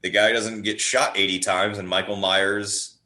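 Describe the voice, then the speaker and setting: An echoey room surrounds this low male voice. He talks in a casual, enthusiastic way, like a podcast guest, spinning out rambling stories and doubling back on his words. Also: little pitch movement, measured pace, pushed and bright. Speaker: podcast guest, horror playwright. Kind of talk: casual enthusiastic film chat. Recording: roomy, echoing sound.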